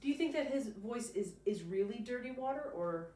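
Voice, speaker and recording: A woman teacher reading a picture book aloud to a child, pausing to explain and prompt with encouraging questions. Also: a little high, animated, dark tone. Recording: roomy, distant mic, faint noise.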